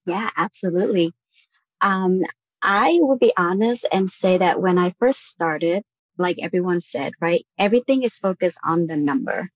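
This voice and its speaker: A woman's voice: fairly low pitch, lively intonation, moderate pace, no room sound. A woman from Vietnam speaking as a podcast guest, earnest and reflective, often checking in with "right?" as she talks. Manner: earnest, frequent "like" and "right?" tags. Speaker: guest on a real estate podcast. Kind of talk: reflective conversational interview answer. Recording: dull lo-fi audio.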